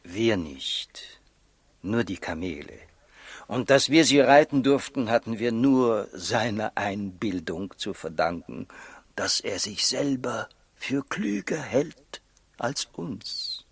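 An actor playing a solemn, devout wise man from the East, breathy and hushed, in a 1960s radio drama. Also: on the low side, lively intonation, takes it slowly, no room sound, full character voice. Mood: solemn, reverent.

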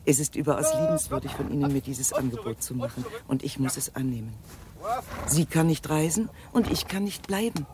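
A woman's voice: very deep voice, sing-song, a little fast, background noise. A woman playing an imperious, agitated noblewoman in a German radio drama, speaking theatrically and formally with urgency. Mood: grave, gracious.

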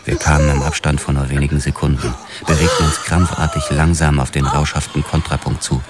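A man's voice: a bass voice, steady tone, a little fast, heavy background noise. A man playing a grim, haunted police detective who tells his own story tersely in a noir radio drama. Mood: brooding. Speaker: narrator and lead actor. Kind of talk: first-person noir radio drama narration. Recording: loud music behind the voice. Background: loud music.

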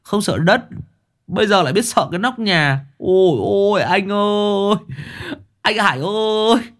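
A man's voice: sits high, measured pace, a bit bright, voice pushed up. A man voicing a cheeky young male sidekick who teases in a sing-song way. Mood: teasing.

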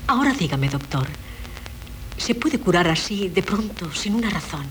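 An actress playing a coy young wife who feigns madness in a 1970s radio play, with hesitant, plaintive theatrical delivery. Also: female voice, on the low side, very animated, normal speed. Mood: coy, confiding. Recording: noisy 1970s radio recording.

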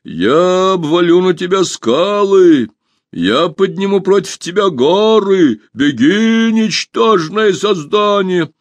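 A man voicing a slow-witted forest monster that roars short threats in a hollow voice. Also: high voice, animated, no room sound, pitched above the performer's own voice. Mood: roaring. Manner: slow, loud, blunt roaring. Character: dim bellowing forest monster guarding a spring.

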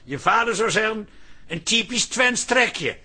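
An actor in a radio play playing a down-to-earth, reluctant husband, dry and laconic with some hesitation. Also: male voice, sits high, animated, unhurried, sharp tone, breathy edge, full character voice. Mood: dry, resisting. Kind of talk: Dutch radio play acting.

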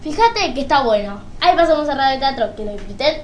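A woman hosts casually with a high voice and a Rioplatense Argentine accent. She rambles and hesitates, and the room is echoey with faint noise behind her. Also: expressive, fast. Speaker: radio host. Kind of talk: casual radio presenting. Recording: roomy echo, background noise.

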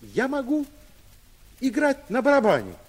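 A man's voice: very high-pitched, huge pitch swings, measured pace, slightly rough, pitched above the performer's own voice, full character voice. Man acting out a bold, eager young boy. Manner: eager, earnest. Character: spirited, bold thirteen-year-old boy eager to fight.

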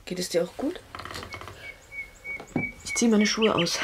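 Young female lover for radio drama, intimate and questioning, creaky tone, audible birds and clicks. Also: medium pitch, slow pace, background noise. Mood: curious, tender. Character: young woman in love, yearning, searching, intimate. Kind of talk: intimate radio play dialogue.

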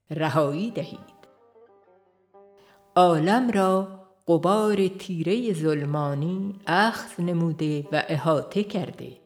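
A woman reading a religious text aloud in a slow, measured, solemn way. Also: fairly low pitch. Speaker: audiobook reader. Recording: soft violin music behind. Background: faint violin music.

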